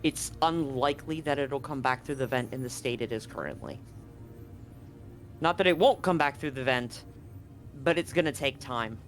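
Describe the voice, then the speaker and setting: A man narrating a live tabletop game as game master, conversational and often halting to correct himself. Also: medium pitch, animated. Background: music.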